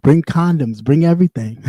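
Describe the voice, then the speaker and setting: A man's voice: a little high, moderate pace, dark tone, no echo. Sassy man with a light voice. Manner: blunt and sassy, talks in loops and repeats himself. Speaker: live stream host; former radio host. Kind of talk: casual rant on a livestream.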